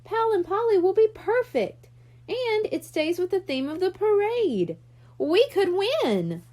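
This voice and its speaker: A woman voices an eager young boy planner in a children's audiobook. He sounds high and lively, sensible and assertive as he explains. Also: a little slow, exaggerated voice. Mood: explanatory.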